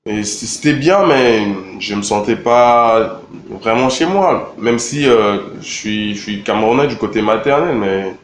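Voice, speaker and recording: A young man from Congo answering interview questions in a relaxed, halting way, with many fillers and repeated words. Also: lowish voice, slight background noise. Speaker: rapper interviewed. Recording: echoey room with faint ambience.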